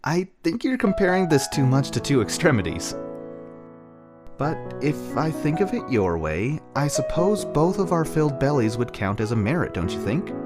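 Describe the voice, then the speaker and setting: A man playing an easygoing, caring young man in an anime fan dub, reasoning gently in a conversational way. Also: on the high side, sing-song, normal speed. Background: music.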